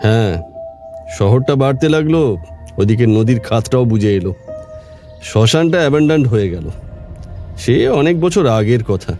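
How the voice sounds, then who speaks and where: An actor playing a calm police officer in a horror audio drama, conversational. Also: male voice, mid-range voice, lightly acted. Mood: calm, informative. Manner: conversational, unhurried storytelling. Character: calm, chatty police officer explaining his findings. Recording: faint music under the voice.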